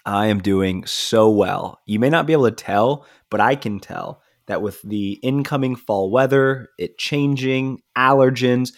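A man's voice: medium pitch, measured pace, hardly any pauses, no echo, studio quality. A man co-hosting a casual podcast, sounding nasal from a stuffy nose, upbeat and easygoing. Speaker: podcast co-host, student ministry leader.

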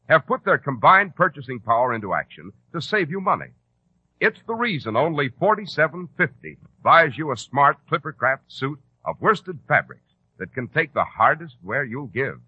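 A man's voice: fairly high pitch, slightly rough, no room sound. An American man hosting and announcing in 1940s radio style, polished, emphatic and salesmanlike. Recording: muffled old radio broadcast.

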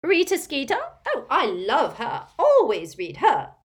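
A shrill, loud old witch who gushes over gossip, as a woman reading a storybook puts her on. Her voice cackles and carries. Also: wildly swooping pitch, unhurried, full character voice. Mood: gushing.

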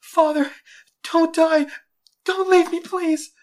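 A man's voice: extremely high pitch, fairly even intonation, brisk, a bit bright, voice pushed up. A man voicing an emotional, earnest young girl in a story. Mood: desperate.

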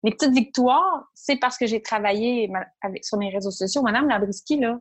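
A woman with a Québécois French accent speaks as a guest entrepreneur in an interview. She talks in a lively, animated way and often acts out her own inner thoughts as she tells her story. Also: on the high side, brisk, breathy edge. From Quebec. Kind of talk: interview conversation.

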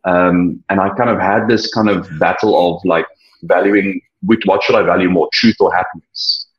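A young South African man whose first language is Afrikaans, sharing his story earnestly, with frequent halting restarts. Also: deep voice, steady tone, breathy edge. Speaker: interview guest. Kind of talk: personal testimony, reflective. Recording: dull lo-fi sound.

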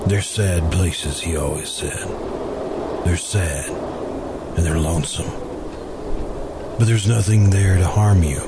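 A man playing a polite, grieving confessed murderer in a hushed, pleading manner, in the style of old-time radio suspense. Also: low voice, heavy background noise, pitched below the performer's own voice.

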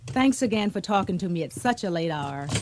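An actress playing a polite, businesslike reporter in a radio drama. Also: female voice, mid-range voice, expressive, fairly quick, noisy background, close to an everyday voice.

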